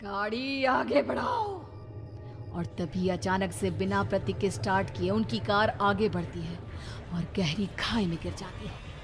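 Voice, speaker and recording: A woman's voice: medium pitch, fairly even intonation, moderate pace, music in the background. A woman giving dramatic, scripted narration of a horror story.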